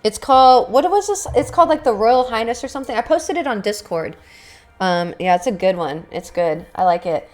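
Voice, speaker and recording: A woman's voice: on the high side, expressive, a little fast. A young woman, chatting casually and rambling to viewers on a live stream, with lots of fillers and swearing. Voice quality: raspy. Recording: faint background music.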